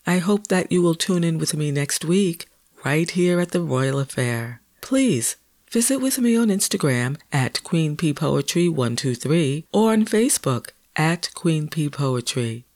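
A middle-aged woman hosting a poetry podcast with a soothing, deliberate, affirming delivery. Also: low-pitched, expressive, a little mellow, no room sound, clean studio sound. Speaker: podcast host, poet. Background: music.

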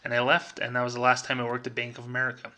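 Man with bright, forceful voice telling stories. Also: medium pitch, monotone, rapid-fire. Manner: conversational, acts out remembered dialogue. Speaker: vlogger. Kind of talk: casual personal storytelling to an audience.

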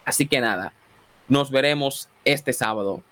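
A Dominican man with a Dominican Spanish accent who hosts a radio show and preaches with fervor. He calls out amen and glory to God, then leads a slow, solemn prayer for listeners to repeat. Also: mid-range voice, a bit bright. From Dominican Republic.

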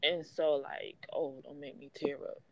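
A woman from the United States, co-hosting a podcast, speaks in a heartfelt, halting way. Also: low voice, fairly even intonation, no room sound. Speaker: podcast co-host. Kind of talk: casual conversation. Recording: dry, dull lo-fi audio.

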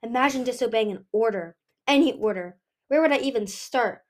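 An earnest young dragon prince from a storybook, acted by a woman. He is dutiful and a little awkward, with formal phrasing in a fairly high voice. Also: brisk, natural voice. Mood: mystified.

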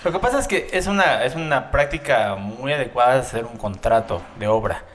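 Measured Mexican man explaining. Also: mid-range voice, animated, a bit bright. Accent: Mexican Spanish. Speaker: podcast co-host, architect. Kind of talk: casual chat.

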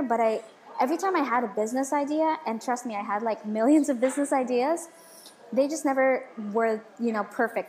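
A woman's voice: on the high side, a little mellow, a little hiss or hum. A woman in her twenties, from the Philippines and raised in the Middle East, chatting as a podcast guest, full of likes and restarts. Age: 20s. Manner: chatty, with many filler likes and quick restarts. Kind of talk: casual interview conversation.

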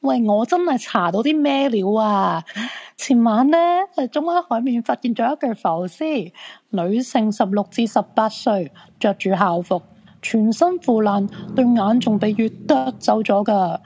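An excitable, insistent crystal fortune-teller in a radio drama, played by a woman. Her voice is fairly high, with a Hong Kong Cantonese accent and a dramatic, pleading edge. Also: unhurried, slightly rough, exaggerated voice. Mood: conspiratorial.

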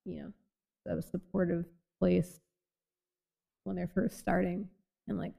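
A woman's voice: on the low side, flat delivery, unhurried, creaky, mellow, slightly rough. A young woman from Boston with a light Boston accent, hosting a casual podcast chat and rambling with many fillers. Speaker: podcast host.

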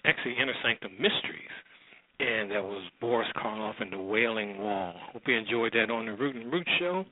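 A man's voice: fairly low pitch, a little fast, no room sound. Casual male radio host, muffled phone-like sound. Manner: informal, rambling, opinionated asides.

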